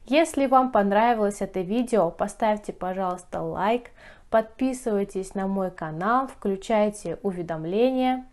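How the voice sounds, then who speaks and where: A woman's voice: mid-range voice, unhurried, a little mellow. A woman from Russia teaching a language lesson, speaking carefully and repeating phrases for learners. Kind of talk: slow, clearly enunciated language lesson.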